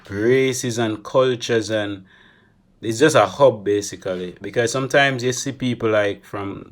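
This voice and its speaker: A young Jamaican man talking casually to camera as a travel vlogger, chatty and animated, slipping Creole phrases into his English. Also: on the low side. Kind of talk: casual vlog monologue.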